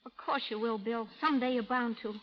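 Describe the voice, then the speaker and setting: A woman playing a tough, lovesick frontier woman in an old radio drama, with rough, scolding Western speech. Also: a little high, fairly even intonation, brisk, close to an everyday voice. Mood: urgent. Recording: muffled old radio recording with music.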